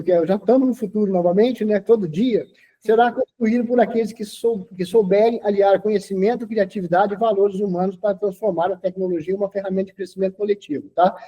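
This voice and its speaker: Older male interview guest, 60s, instructive and talkative; high, husky voice. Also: dark tone, low-quality recording. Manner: lecturing, tags sentences with "tá?". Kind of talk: casual interview talk.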